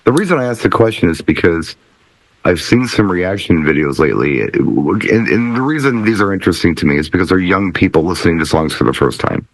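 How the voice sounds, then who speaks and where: Low, animated man hosting a chat. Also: a little fast, no echo, poor audio. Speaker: talk show host, DJ. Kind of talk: casual panel chat.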